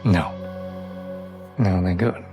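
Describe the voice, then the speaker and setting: A man's voice: deep voice, extremely slow, music in the background, voice pushed down. A man voicing a skeptical, folksy male traveler who asks pointed questions in a tabletop game. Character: Harlan, skeptical gruff male traveling companion.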